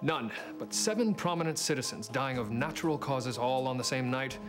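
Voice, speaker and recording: An actor playing a grave, clever Victorian police detective with formal, measured diction in a mystery drama. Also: male voice, mid-range voice, animated, music in the background, close to an everyday voice. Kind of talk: period mystery drama acting.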